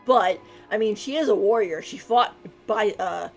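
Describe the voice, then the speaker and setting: A woman's voice: medium pitch, moderate pace. A woman giving casual live commentary as a game streamer, with giggly, effusive reactions. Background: game music.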